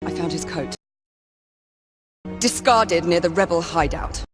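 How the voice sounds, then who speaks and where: Actor as solemn knight witness, formal, measured, middle pitch, game drama, light music behind. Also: lightly acted. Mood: solemn, grave. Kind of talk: dramatic video game acting.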